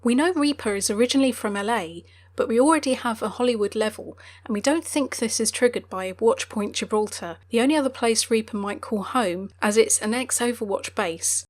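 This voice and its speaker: High-voiced woman narrating game lore. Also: a little fast. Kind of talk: scripted explainer narration.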